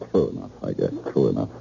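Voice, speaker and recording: A man's voice: extremely low pitch, animated, moderate pace, creaky, dark tone, slightly rough, exaggerated voice. An actor playing an anxious, jealous, well-to-do stockbroker in an old-time radio drama, agitated and repeating his words insistently. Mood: wounded, pleading. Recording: muffled, noisy old radio recording.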